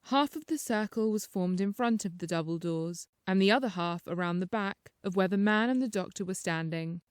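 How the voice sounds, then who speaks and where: A woman narrates a first-person short story in a scripted audiobook style. Her pitch sits in the middle range. The recording is very dry, with little room sound. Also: normal speed, clear, resonant tone, dry recording.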